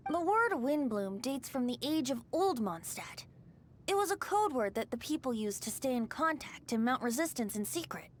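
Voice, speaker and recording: High-pitched, playful young male bard. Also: big pitch swings, normal speed, a little hiss or hum. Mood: wistful, lyrical. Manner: teasing, lyrical, theatrical. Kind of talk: video game voice acting. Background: music.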